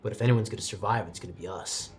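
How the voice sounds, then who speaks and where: A man's voice: fairly low pitch, very fast, natural voice. A man playing an arrogant, hostile young male demon slayer in a tabletop game, curt, condescending and threatening. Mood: cold disdain.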